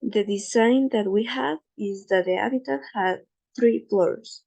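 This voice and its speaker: Gravelly-voiced woman presenting slides. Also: mid-range voice, darkish tone, lo-fi sound. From Ecuador. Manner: careful, slightly halting non-native English with fillers. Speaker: student presenter.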